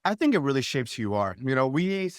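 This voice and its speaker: A man's voice: medium pitch, very animated, fast talker, clean tone, no room sound, clean studio sound. A man hosting a remote interview, easygoing and reflective, with many "you know" fillers. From United States. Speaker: podcast host.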